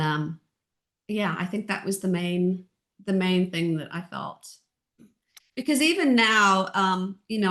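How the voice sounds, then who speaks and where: A middle-aged woman from Ireland with a softened Irish accent, chatting as an interview guest in a gentle, reflective way. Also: mid-range voice. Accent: Irish, softened by years in Texas. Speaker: guest, author and audiobook narrator. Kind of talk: casual interview chat.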